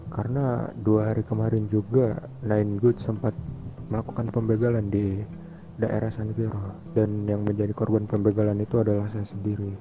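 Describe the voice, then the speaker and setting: A man roleplaying a formal, composed mafia boss in an online game, speaking politely and deliberately. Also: lowish voice, little pitch movement, close to an everyday voice. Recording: muffled game voice chat with music.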